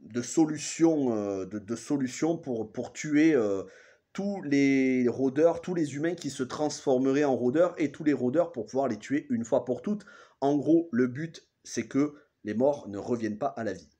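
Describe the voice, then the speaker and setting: A man's voice: medium pitch, animated, measured pace, dark tone. A man in his thirties with a cold, recapping a TV episode in a casual, hesitant way and often correcting himself mid-sentence. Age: 30s. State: has a cold. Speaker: TV series reviewer.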